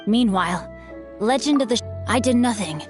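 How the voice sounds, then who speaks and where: A woman's voice: on the high side, lively intonation, normal speed, over music, close to an everyday voice. A woman voicing a young, cultured, playful rich heir with formal, wordy speech in an English video game. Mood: gracious.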